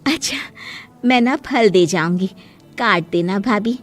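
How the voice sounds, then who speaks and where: A woman's voice: mid-range voice, unhurried, pitched below the performer's own voice, natural voice. Lady doing a friendly pushy neighbour aunty in a cartoon, chatty, faint music under her. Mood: insistent. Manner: chatty, insistent. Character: friendly, generous neighbour woman, familiar and eager.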